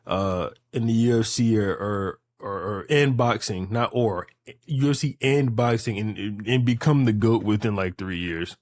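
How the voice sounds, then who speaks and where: A man's voice: mid-range voice, a little mellow, no room sound. A man in his late twenties chatting casually and rambling through hypotheticals, repeating phrases emphatically with profane slang. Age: late 20s.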